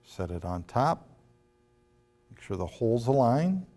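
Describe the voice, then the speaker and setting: A man giving a step-by-step instructional demonstration in a deliberate, phrase-by-phrase way with firm instructions. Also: medium pitch, very animated, dark tone, faint music behind. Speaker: product demonstrator. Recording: long reverberant room echo.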